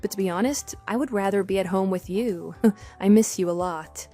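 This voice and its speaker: A woman's voice: mid-range voice, moderate pace, warm tone, faint music behind, close to an everyday voice. A woman playing an affectionate, chatty working wife in an audio drama. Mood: fond, playful. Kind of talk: scripted texting-story radio drama.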